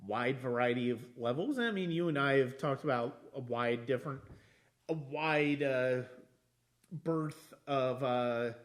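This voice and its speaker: A man hosting a casual film discussion, speaking haltingly and circling back over his phrases. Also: mid-range voice. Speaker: podcast host.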